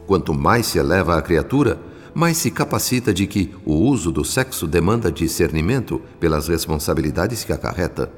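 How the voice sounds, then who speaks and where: Low solemn brazilian guy as a spirit narrator in a radio novela, measured, lots of reverb. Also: animated. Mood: solemn, instructive. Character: male spirit narrator recounting life in a spiritual city, earnest. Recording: long studio reverb, music underneath.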